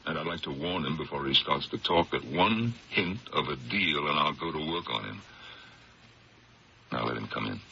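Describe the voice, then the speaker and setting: An actor playing an upright, suspicious district attorney with a firm, blunt, questioning manner in a 1970s radio drama. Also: male voice, a bass voice, expressive, normal speed, creaky, pushed and bright, full character voice. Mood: wary, firm. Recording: muffled, noisy 1970s radio broadcast.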